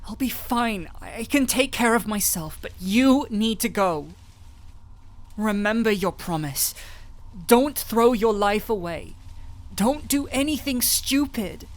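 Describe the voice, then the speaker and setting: A woman playing a gentle, desperate doctor in a fantasy audio roleplay, with halting, emotive, breathy delivery. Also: fairly high pitch, lively intonation. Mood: urgent pleading. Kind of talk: dramatic audio roleplay acting.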